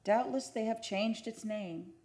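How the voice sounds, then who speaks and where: A woman's voice: a little high, unhurried, darkish tone. A woman playing the lovelorn ghost of a Spanish sea captain's daughter in an old-time radio drama, with solemn, archaic delivery. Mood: mournful. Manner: solemn, archaic, declamatory phrasing.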